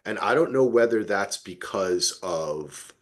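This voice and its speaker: Male podcast host, low-pitched and very animated, casual and enthusiastic. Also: unhurried. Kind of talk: casual chat.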